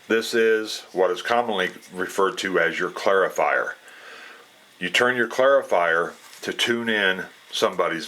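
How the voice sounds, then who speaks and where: A man giving a casual, unscripted explanation as a radio hobbyist, in a plainspoken, folksy way with halting phrasing. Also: deep voice, bright, forceful tone.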